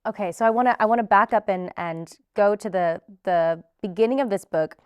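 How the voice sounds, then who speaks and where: A woman's voice: medium pitch, fairly quick, clear, resonant tone, no echo, highs cut off. A woman interviewing a guest in a conversational way, encouraging him and often answering with short agreements.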